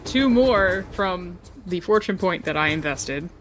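A woman's voice: on the low side, animated, a little slow. Female tabletop player, casual conversational delivery, music running behind her. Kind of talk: casual game chat.

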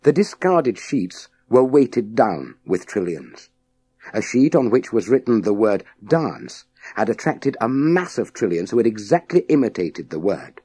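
Deep-voiced male storyteller, slow and expressive. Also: husky. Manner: measured, dramatic delivery with deliberate pauses. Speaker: audiobook narrator. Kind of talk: scripted storytelling narration of a children's science fiction novel.

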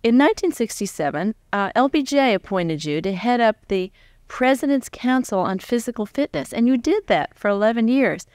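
A woman's voice: a little high, lively intonation, normal speed, darkish tone, no echo, clean studio sound. A middle-aged American woman conducting a conversational interview, asking her guest questions. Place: indoor stage before a live audience. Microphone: large stand microphone close in front.

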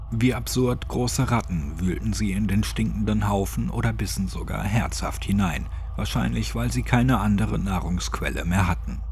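A man's voice: lowish voice, a little mellow, slight background noise. A man reading a scripted horror story as an audiobook narrator.

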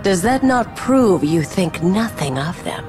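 A woman's voice: fairly low pitch, expressive, a little slow, over music. A woman playing a menacing, cold, taunting sorceress in a video game, speaking in an imperious, theatrical manner. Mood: mocking, imperious.

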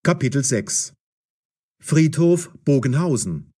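A man narrating a scripted story with clear enunciation. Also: a little high, lively intonation, takes it slowly, warm tone.

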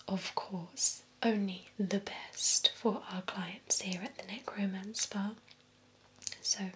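Young woman as courteous vampire spa hostess, breathy whisper, very measured pace, attentive, ASMR roleplay, close binaural mic with some hiss. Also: mid-range voice. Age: young adult. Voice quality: breathy, whispered soft speech. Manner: slow, soothing, formal, attentive. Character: poised, courteous female vampire spa beautician. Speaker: ASMR performer. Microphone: close binaural microphone.

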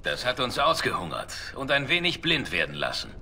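Bright-voiced, wry roguish elf. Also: male voice, mid-range voice, huge pitch swings, fairly quick, vocal fry, slight background noise, exaggerated voice. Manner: dry, wry. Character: roguish elf man, cynical, flirtatious outlaw. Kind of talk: dramatic video game dialogue.

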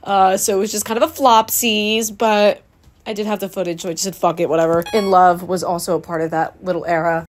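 A woman's voice: mid-range voice, level tone, brisk, slight background noise. A woman in her twenties, a singer-songwriter, chatting casually in a voice-over about her unreleased songs, offhand and lightly profane.

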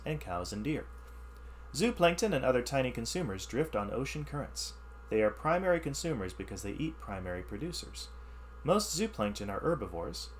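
A man reading a science ebook aloud as a teacher, pausing to explain the text in simpler words. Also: medium pitch, slight background noise. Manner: reads text then explains in plain words. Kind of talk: read-aloud lesson with asides.

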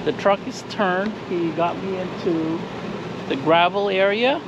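Chatty man vlogging outdoors, slow and steady. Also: high voice, highs cut off, background noise. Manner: chatty, walking viewers through the campsite. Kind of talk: casual travel vlog. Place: outdoors at a campground beside a trailer. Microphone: action camera on a short pole at arm's length.

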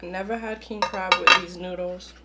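A woman's voice: fairly low pitch, steady tone, normal speed, heavy background noise. A young woman hosting an eating show, chatting casually between bites in a room at home. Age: young adult. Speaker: mukbang host. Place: small room indoors at home.

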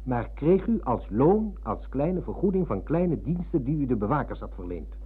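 A man's voice: medium pitch, big pitch swings, normal speed, husky. An actor playing a formally polite, pedantic man who coolly probes and needles like an interrogator, in a Dutch radio drama. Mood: needling. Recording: muffled, dull old radio recording.

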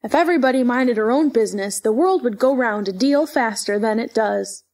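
Woman as a bossy, ill-tempered storybook Duchess, highish voice. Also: a little fast, a little mellow. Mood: brusque, snappish. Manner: curt, snappish.

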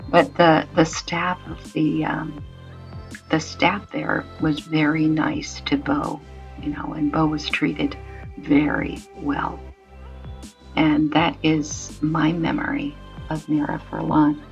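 A woman talking slowly and thoughtfully while she paints, reminiscing and digressing with many restarts. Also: deep voice, flat delivery, gravelly, lo-fi sound, faint music behind. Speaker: painting vlogger. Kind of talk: casual reflective monologue while painting.

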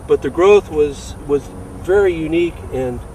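A man's voice: fairly high pitch, mellow. Male, fifties, hesitant technical explainer, informal, off-mic sound with noticeable background noise. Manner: halting, hesitant delivery. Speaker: sports turf manager. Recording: distant, roomy sound with clear background noise.

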